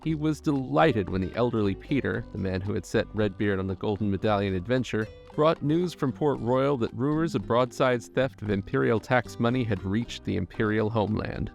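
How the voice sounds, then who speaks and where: A man reading scripted documentary narration in a measured storytelling manner. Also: lowish voice, vocal fry, faint music behind.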